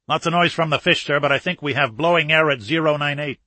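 An alert submarine crewman, acted by a male narrator giving crisp, urgent reports in an audiobook. The voice is slightly raised and bright, recorded dry and close. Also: a little high, fast talker, dry recording. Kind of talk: audiobook character acting.

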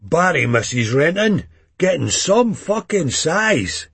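Audiobook: man voicing a combative Scottish thug, raised-pitch, husky, swearing and snapping. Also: sing-song, slow pace, a bit bright, no echo, full character voice. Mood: blustering. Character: volatile, aggressive young Scottish hard man.

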